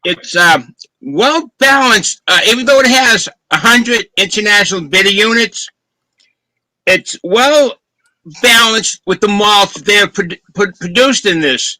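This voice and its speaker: A man's voice: high voice, measured pace, bright, forceful tone, dry recording, poor audio. A man with a Boston accent, chatting casually on a video call and describing a beer's taste in detail. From Boston, Massachusetts. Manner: detailed, descriptive talk about flavor. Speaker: beer reviewer, co-host. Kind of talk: casual chat, beer tasting review.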